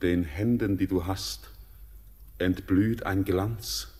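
A young actor playing an orphaned sailor, torn between pleading and dread, in a classic radio play. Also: male voice, deep voice, steady tone, slow pace, a little mellow, slightly rough, heavily acted. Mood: anxious.

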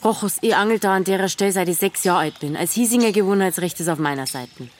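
A woman's voice: lowish voice, quick pace, a little hiss or hum, lightly acted. A woman playing a cheeky, flirtatious village woman in a Bavarian dialect audio drama, teasing and blunt. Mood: brusque. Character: Marei, cheeky flirtatious village woman, quick-tongued and brusque.

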